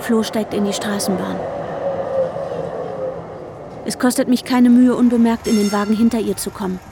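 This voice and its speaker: An actress playing an anxious, determined woman in a radio drama, narrating intimately and urgently, often dropping to a hush. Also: on the high side, fairly even intonation, moderate pace, creaky, noisy background. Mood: hushed suspense. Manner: urgent, often dropping into a hushed near-whisper. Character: anxious, determined young woman searching for her missing partner.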